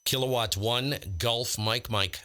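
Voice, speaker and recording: A man's voice: mid-range voice, fairly even intonation, slow, pushed and bright. A man speaking bluntly and informally, chatting casually and calling as a ham radio livestream host.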